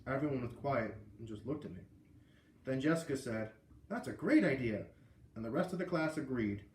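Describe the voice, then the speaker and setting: A man's voice: mid-range voice, expressive, normal speed, reverberant, a little hiss or hum. A man with an American accent reading a children's book aloud, with casual asides. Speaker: read-aloud reader.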